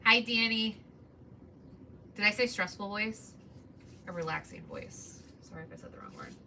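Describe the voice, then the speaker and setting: Soft-voiced woman crafting live. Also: mid-range voice, wildly swooping pitch, moderate pace. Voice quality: soft, called relaxing. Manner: chatty, greets viewers while working. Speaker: craft livestream host. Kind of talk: casual crafting livestream. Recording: distant mic with background noise.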